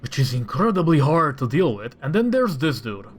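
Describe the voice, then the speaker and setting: A man's voice: fairly high pitch, very animated, normal speed, slightly rough. A man hosting a gaming channel, thinking aloud in casual gameplay commentary and breaking into exasperated rants. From Romania. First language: Romanian. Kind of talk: casual gameplay commentary while showing a mod.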